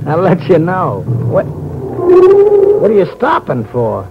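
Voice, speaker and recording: A sly little seaman, with a highish, swooping voice and slow, insinuating delivery. He is a cocky blackmailer in a 1940s radio mystery, heard through noisy, muffled old audio. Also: wildly swooping pitch, gravelly, exaggerated voice. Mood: alarmed. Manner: insinuating, smug, drawn-out.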